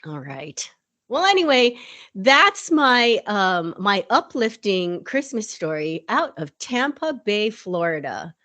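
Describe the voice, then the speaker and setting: A woman's voice: mid-range voice, very animated, slow pace, sharp tone, dry recording. A woman co-hosting a podcast in casual chat, rambling and laughing often as she tells stories. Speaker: podcast co-host.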